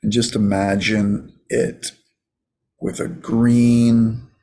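Slow, husky man guiding a meditation. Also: fairly low pitch, little pitch movement, dark tone. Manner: soothing, casual, unhurried with many pauses. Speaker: meditation coach. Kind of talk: guided meditation.

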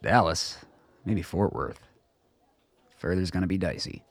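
Radio drama: man playing suspicious old dad, low and gravelly, terse and gruff. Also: unhurried, no room sound, heavily acted. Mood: tense, wary. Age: older. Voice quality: gravelly, husky. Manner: terse, wary. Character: gruff, suspicious, foul-mouthed old father. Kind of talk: radio play dialogue.

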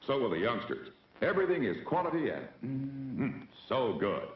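A man's voice: mid-range voice, big pitch swings, moderate pace. An American man giving a genial, showy mid-century promotional announcement as a drive-in theater announcer. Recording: old, dull, echoing, distant announcement recording.

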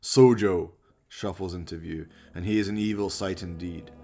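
A man's voice: sits low, steady tone, normal speed, mellow, faint music behind. A man narrating a scripted radio play in a dramatic storytelling manner.

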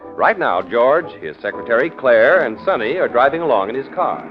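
A man's voice: medium pitch, sing-song, normal speed. A man with an American accent announcing a 1940s radio show in period style. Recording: dull 1940s radio recording. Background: music.

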